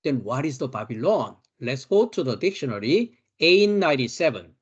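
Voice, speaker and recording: A man with a Korean accent, a Bible teacher giving a study lecture, slowly and emphatically repeating key words. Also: medium pitch, very animated, slightly rough, highs cut off.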